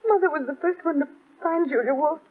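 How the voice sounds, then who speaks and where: A woman's voice: high-pitched, a little fast, lightly acted. An actress playing a frightened young woman in an old radio drama, hesitant and faltering. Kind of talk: 1940s radio acting. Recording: tinny old radio recording.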